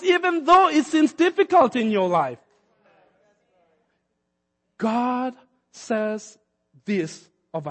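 A man from Kenya preaches in a high, ringing voice. His sermon climbs to forceful emphatic peaks, and he acts out the people in his stories. The sound carries a long hall reverb around him. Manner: preaching with rising emphatic peaks and acted-out quotes. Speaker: pastor.

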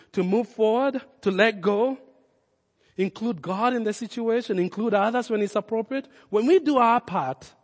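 A Kenyan-accented male pastor preaching in a high-pitched voice, pressing hard on his points and then dropping low and close. His words ring out with a long reverb from the church hall around him. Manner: impassioned preaching, rising loud then dropping low and intimate. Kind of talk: sermon.

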